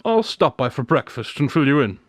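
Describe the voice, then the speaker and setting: A male actor plays a shrewd private detective in a radio drama, smug and never ruffled. He teases in a measured, knowing way, with a mid-pitched voice and very varied intonation. The sound is dry. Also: big pitch swings, dry recording. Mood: coolly sceptical. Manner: wry, knowing, teasing, measured. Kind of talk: modern radio drama dialogue.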